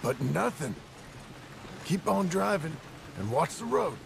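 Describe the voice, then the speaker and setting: An actor playing a gruff, weary, evasive American frontier father in a video game, talking curtly in folksy phrases. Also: on the high side, sing-song, takes it slowly, exaggerated voice. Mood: stern. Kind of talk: naturalistic video game acting. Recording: game dialogue over wagon and outdoor noise.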